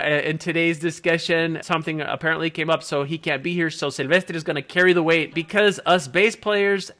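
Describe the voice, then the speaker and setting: A man's voice: a little high, a bit bright. A man hosting a friendly, enthusiastic interview over a video call. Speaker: interviewer for a bass magazine. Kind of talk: casual remote interview.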